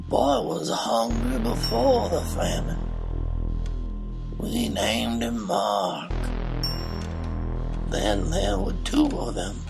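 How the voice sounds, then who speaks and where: An actor playing a depraved, drug-addled old gang leader in a surreal audio drama, gravelly and rambling in a slow drawl. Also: male voice, mid-range voice, heavily acted. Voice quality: gravelly, creaky.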